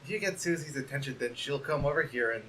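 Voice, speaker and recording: A man voicing a shy young goat prince who speaks softly, hesitantly and politely. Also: mid-range voice, fast talker, slight background noise, lightly acted, low-quality recording.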